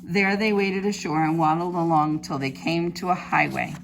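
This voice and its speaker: A woman reads a picture book to young children with plenty of expression and emphasis. Her voice sits fairly low and has a gravelly creak. There is faint noise behind her. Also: steady tone, moderate pace. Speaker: preschool teacher reading aloud. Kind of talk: animated children's storybook reading.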